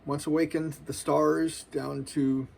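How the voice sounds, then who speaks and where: Forties male vlogger, gravelly, casual spiritual musings, slangy and earnest. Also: fairly high pitch, flat delivery, slow pace, a little mellow. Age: 40s. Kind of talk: rambling spiritual monologue.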